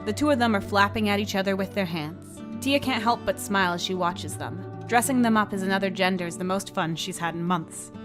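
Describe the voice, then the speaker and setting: A woman's voice: medium pitch, a little fast, hardly any pauses. A woman reading scripted story narration as the narrator. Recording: music behind the voice. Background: music.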